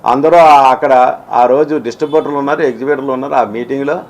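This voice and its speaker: A man making an unscripted statement to the press, halting and repeating himself, emphatic and argumentative. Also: mid-range voice, fairly even intonation. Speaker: film exhibitor speaking on industry dispute.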